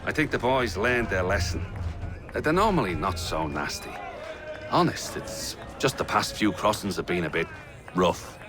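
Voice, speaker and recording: Low-voiced, friendly sailor. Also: male voice, animated, moderate pace. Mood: candid, concerned. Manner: amiable, frank, colloquial. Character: friendly, plain-spoken sailor looking out for his crewmates. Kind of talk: video game voice acting. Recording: music playing behind the voice.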